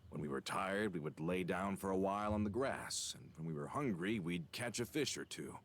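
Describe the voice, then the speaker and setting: An actor playing a weary, humble aging working man in a video game, measured and polite. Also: low voice, lively intonation, a little hiss or hum. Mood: nostalgic.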